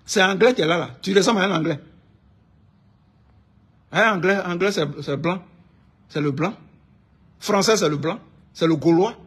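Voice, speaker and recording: A man from Ivory Coast with an Ivorian accent and a husky voice, delivering an informal, indignant political rant, emphatic and repeating his phrases insistently. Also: high voice, normal speed, pauses often, a bit bright. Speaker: online political commentator.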